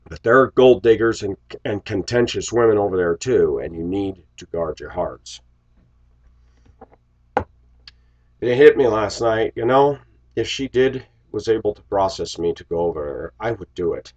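A middle-aged American man with a low, rough-edged voice, getting over a cold. He rambles reflectively to his viewers in a casual, confessional way. The audio is dull and lo-fi, from a webcam in a small room. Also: animated, normal speed. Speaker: vlogger. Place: small indoor room with shelves. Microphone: webcam microphone at desk distance.

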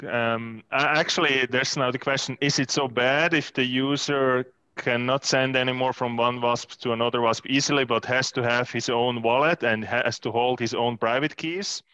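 A man's voice: mid-range voice, fairly even intonation, moderate pace, pushed and bright, clear, resonant tone. A man in his thirties speaking as a panelist through a headset mic, explaining in a matter-of-fact way. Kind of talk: panel discussion over video call. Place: room with plant wall indoors.

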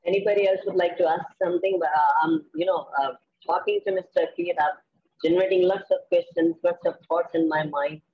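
A man's voice: sits high, steady tone, a little fast, breathy edge, phone-quality sound. A man hosting an online English class on a video call, hesitant and full of fillers. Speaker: teacher and class host.

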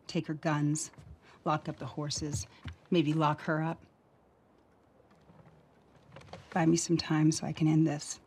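A woman's voice: sits low, level tone, measured pace, darkish tone, slight background noise. Firm, plainspoken middle-aged woman. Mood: grim, reading. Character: stern but caring middle-aged woman, settlement leader. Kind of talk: naturalistic video game cutscene acting.

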